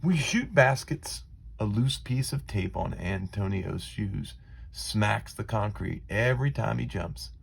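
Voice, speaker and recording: Casting: male children's story narrator, low gravelly voice, dramatic and deliberate phrasing. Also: expressive. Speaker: storybook reader.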